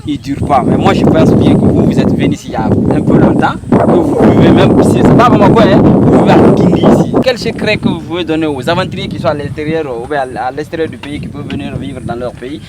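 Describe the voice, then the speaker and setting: A man's voice: fairly high pitch, quick pace, few pauses. A man interviewing a guest outdoors, polite and hesitant. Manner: polite, with many hesitation sounds. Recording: loud wind and outdoor noise.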